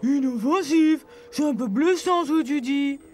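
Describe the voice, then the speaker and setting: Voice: sits high, measured pace, darkish tone. An actor voicing a touchy polar bear who hates the cold, grumbling dryly in a dubbed cartoon. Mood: touchy, complaining. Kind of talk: dubbed low-budget cartoon dialogue. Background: faint music.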